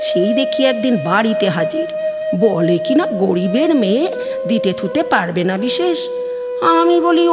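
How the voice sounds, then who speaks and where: Woman acting a high-voiced, weary old Bengali mother. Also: big-room sound. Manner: colloquial, lamenting, exclamatory. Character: weary, worried, complaining elderly Bengali village mother. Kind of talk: audio drama recitation of a phone dialogue. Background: music.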